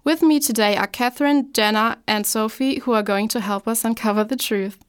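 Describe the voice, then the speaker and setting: A woman's voice: a little high, brisk, clear, resonant tone. A young woman hosting a scripted talk show, presenting in an upbeat, read-out way.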